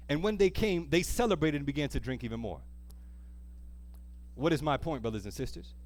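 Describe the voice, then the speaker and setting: A man with a fairly high voice delivers a church sermon. He is earnest and emphatic, repeating key phrases and turning them into questions for the congregation. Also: a little mellow. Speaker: preacher. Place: speaking live to a church congregation.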